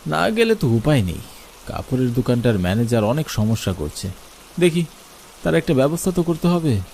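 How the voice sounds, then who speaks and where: A man's voice: medium pitch, big pitch swings, normal speed, creaky, darkish tone, a little hiss or hum. A man voicing a bossy, worried middle-aged hotel owner in a cartoon ghost story, with music behind. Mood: troubled.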